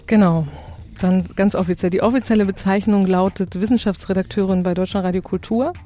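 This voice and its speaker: A woman telling anecdotes casually as an interview guest, in an animated storytelling manner. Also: medium pitch, brisk. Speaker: radio science editor, interview guest. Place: dry podcast studio.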